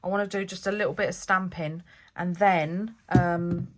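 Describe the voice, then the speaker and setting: A woman's voice: fairly low pitch, fairly even intonation, normal speed, slight background noise. A woman hosting a craft vlog, chatting and thinking aloud as she works, a bit stuffy with a cold. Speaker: craft channel host. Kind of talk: casual crafting vlog talk.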